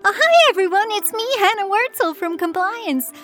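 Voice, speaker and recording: Squeaky, chattering office worker. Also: female voice, lively intonation, moderate pace, a bit bright, faint music behind. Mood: chirpy, rambling. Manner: rambling, talkative. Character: chattering, oblivious female compliance office worker. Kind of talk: campy satirical audio drama acting.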